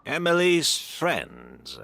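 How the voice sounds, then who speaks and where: A genial older perfume master, lively and unhurried. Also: male voice, on the high side, creaky, dry recording, heavily acted. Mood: humble, fond. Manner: modest, fond, unhurried. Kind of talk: video game voice acting.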